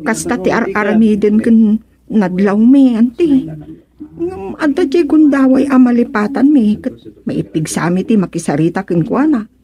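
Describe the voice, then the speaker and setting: Actress as high-voiced exasperated Filipino older sister. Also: female voice, moderate pace, warm tone, lightly acted. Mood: worried. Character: exasperated older sister who peddles goods, fed up with brother. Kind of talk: radio serial drama acting.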